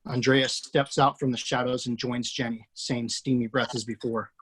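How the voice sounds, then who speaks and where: Male reader of script action lines, husky, steady delivery. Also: mid-range voice, normal speed. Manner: steady, matter-of-fact. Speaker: screenplay reader. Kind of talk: reading screenplay action lines.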